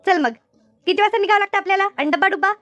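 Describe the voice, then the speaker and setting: A woman voicing a jumpy, eager farm labourer in a village cartoon, excitable and repetitive, with a rural Maharashtrian Marathi accent. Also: very high-pitched, racing, no room sound. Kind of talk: cartoon comedy dialogue.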